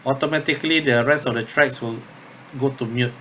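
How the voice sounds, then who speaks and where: A man instructor talking through an unscripted tutorial step by step, thinking aloud haltingly and often checking in with okay or yeah. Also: medium pitch, fairly bright tone, dull audio, slight background noise. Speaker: drama workshop instructor.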